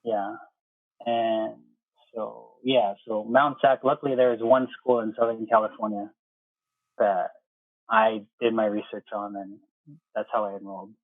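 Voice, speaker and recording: An American man in his thirties talking casually as an interview guest, explaining things in a halting way and often rephrasing. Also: fairly low pitch, level tone, no echo, narrow, tinny audio. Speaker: interview guest, air traffic controller.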